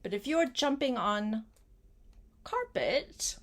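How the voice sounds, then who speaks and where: Older woman reading slowly to a child. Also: a little high, sing-song, slight background noise. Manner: gentle, simple child-directed talk with questions and short phrases. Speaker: grandmother reading aloud to a child. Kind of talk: read-aloud picture book storytelling with asides to a young child.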